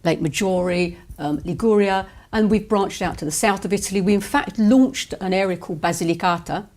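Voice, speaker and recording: Measured businesslike woman. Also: mid-range voice, a little mellow. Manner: measured, businesslike, with filler hesitations. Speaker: property agency owner. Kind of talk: interview answers.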